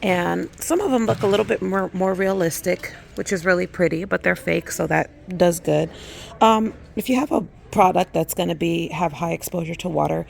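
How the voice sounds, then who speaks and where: A woman hosting her skincare channel, hoarse and losing her voice, chatting casually with lots of ums and likes as she walks through a craft store. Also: fairly low pitch, moderate pace, slight background noise. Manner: chatty, many fillers like um and like. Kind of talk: casual shopping vlog walkthrough.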